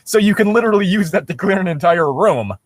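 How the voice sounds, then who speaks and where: A man's voice: sits high, lively intonation, quick pace, no echo. A man casually reading a story aloud and picking it apart with sarcastic, sweary commentary as a co-host. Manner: sarcastic, nitpicking, swears freely. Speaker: co-host reading and mocking amateur fiction.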